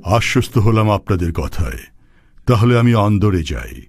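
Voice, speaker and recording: A man voicing an anxious king in an animated historical drama, speaking in a troubled, theatrical royal manner. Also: lowish voice, moderate pace, creaky. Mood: relieved. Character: anxious old king haunted by a prophecy. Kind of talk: dubbed cartoon acting. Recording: voice over loud background music. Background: music.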